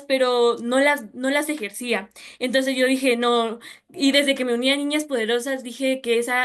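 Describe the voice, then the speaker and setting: A woman's voice: on the high side, a bit bright. A young woman with a Guatemalan accent, giving a personal presentation as a youth project founder.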